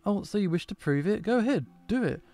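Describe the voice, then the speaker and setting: A man voicing a sharp-tongued male companion in a game who scolds and mocks with sarcasm. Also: high voice, animated, fast talker, soft background music, voice pushed up. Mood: scolding.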